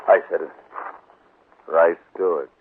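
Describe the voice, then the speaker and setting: An actor playing a cruel, taunting leader of a lynch mob in an old radio western. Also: male voice, deep voice, steady tone, takes it slowly, creaky, full character voice. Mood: menacing taunting. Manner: taunting, menacing. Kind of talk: 1950s radio western acting. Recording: muffled noisy old radio drama recording.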